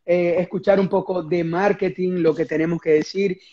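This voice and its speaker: A man's voice: high voice, steady tone, moderate pace, dark tone, dull audio. A Venezuelan man in his thirties, a guest marketing director, speaking in an upbeat but hesitant way, with many fillers, over a video call. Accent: Venezuelan Spanish.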